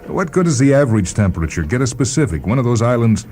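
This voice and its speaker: A man's voice: medium pitch, big pitch swings, fast talker, warm tone, soft background music, pitched above the performer's own voice, close to an everyday voice. A man playing a bitter, haughty male scientist in a radio story, speaking with clipped disdain. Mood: irritated.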